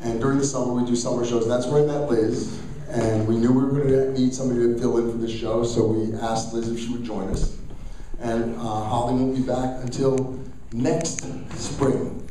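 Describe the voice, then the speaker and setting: A man's voice: medium pitch, fairly even intonation, normal speed, warm tone. A man speaks from a distance in a very echoey hall with faint noise behind him. He is the host of a live theater show, giving informal, folksy announcements to the audience. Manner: chatty, folksy address to audience.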